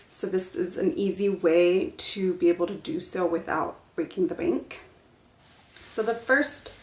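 Creaky-voiced young woman chatting to camera. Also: mid-range voice, roomy sound. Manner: relaxed, chatty, enthusiastic about decor. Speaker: home decor vlogger. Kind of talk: casual haul vlog to camera. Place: living room at home, indoors.

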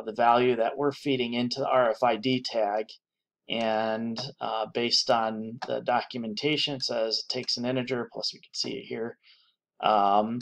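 A man giving an unscripted screen-recorded software tutorial, thinking aloud with many pauses and restarts. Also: mid-range voice.

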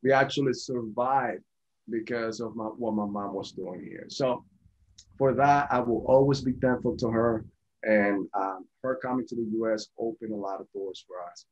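A man's voice: lowish voice, moderate pace. A man, telling his personal story conversationally as a guest over a video call. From born in Venezuela, raised in Colombia. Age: 40s. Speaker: podcast guest. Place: small indoor home office. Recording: dull, lo-fi video call audio.

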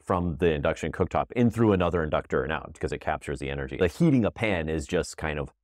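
Very deep-voiced man explaining things. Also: animated, quick pace, dry recording, clean studio sound. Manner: conversational, explains with everyday analogies. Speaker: guest, appliance company founder. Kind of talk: casual explanatory interview talk.

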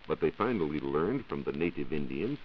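A man's voice: a bass voice, very animated, brisk, lo-fi sound, a little hiss or hum. An American man explaining formally as a science reporter in a scripted 1940s radio broadcast on an old recording.

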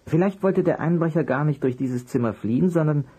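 A man playing a polite, persistent private detective in an audio drama, with a husky voice and a courteous, probing way of asking. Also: fairly high pitch, a little fast, mellow. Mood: probing, thoughtful. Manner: courteous, probing, hesitant at times. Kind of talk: German audio drama acting.

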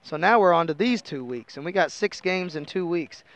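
A man's voice: fairly high pitch, expressive, normal speed, a bit bright. A middle-aged American man, a basketball coach explaining things conversationally in a postgame interview on a headset mic. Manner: conversational, explanatory, frequent "you know". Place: indoor interview table at a sports arena.

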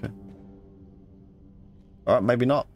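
A man's voice: mid-range voice, measured pace, faint music behind. A man giving casual let's play commentary, muttering his thoughts aloud and reading out item text.

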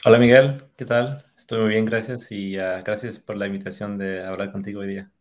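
A man's voice: lowish voice, fairly even intonation, fairly quick. A man in his thirties from Australia, a native English speaker, giving casual interview answers with an Australian accent. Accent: Australian-accented Spanish. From Australia, Tasmania. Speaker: podcast guest, machine learning engineer. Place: indoors on a video call. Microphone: video call.

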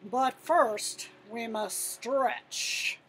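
Woman reading as a stern lion teacher, bright voice. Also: on the high side, extremely slow, slight background noise, low-quality recording. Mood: instructing. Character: stern, hard-to-impress adult male lion teacher.

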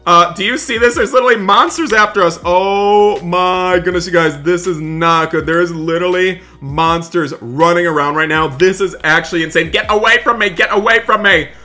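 A man's voice: sits high, normal speed, hardly any pauses, pushed and bright. A young man doing dramatic, emphatic roleplay commentary over a video game for his viewers, often repeating his exclamations. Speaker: gaming video creator. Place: indoors, voice-over on game footage. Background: music.

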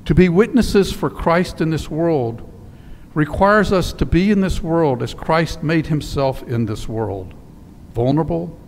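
Older priest preaching in a reverberant church. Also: male voice, on the high side, a little hiss or hum. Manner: storytelling with quiet, slowed emphasis. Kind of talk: sermon. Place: church sanctuary in front of altar. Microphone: headset mic. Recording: long church reverb with faint ambience.

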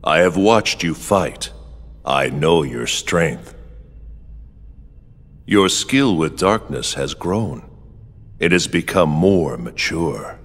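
Deep, gravelly, drawn-out dark lord. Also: male voice, expressive, full character voice. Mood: taunting, seductive. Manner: slow, grandiose, taunting. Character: menacing, arrogant male dark lord, a lingering shadow. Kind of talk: theatrical video game villain acting. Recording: long hall reverb, music underneath.

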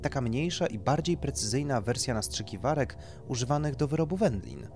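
A man's voice: medium pitch, lively intonation, a little fast, a little mellow, soft background music, pitched above the performer's own voice, lightly acted. A man voicing a polite, tactful male doctor who explains procedures clinically in an audiobook. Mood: reassuring. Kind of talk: audiobook character dialogue.

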